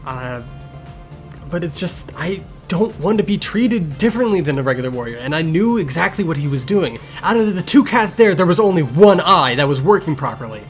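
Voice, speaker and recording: A man's voice: a little high, expressive, a little fast, close to an everyday voice. A man voicing a grumpy, sarcastic blind young cat healer in a fan Q&A, with a curt, irritable and defensive manner. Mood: earnest, resentful. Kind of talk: improvised in-character fan Q&A. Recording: music behind the voice.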